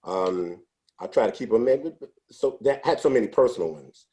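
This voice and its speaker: A man in his sixties, a podcast interview guest, telling his life story in an unhurried, reflective way with frequent restarts. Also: lowish voice, lively intonation, dark tone. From United States. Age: 60s. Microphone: podcast microphone.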